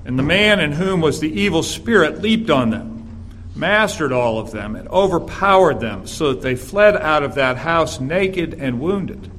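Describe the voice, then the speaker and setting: A man with a raspy voice, a guest preacher delivering an earnest sermon in a church and reading scripture aloud. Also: fairly high pitch, animated, fairly bright tone, a little hiss or hum. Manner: earnest, measured preaching with filler "uh"s.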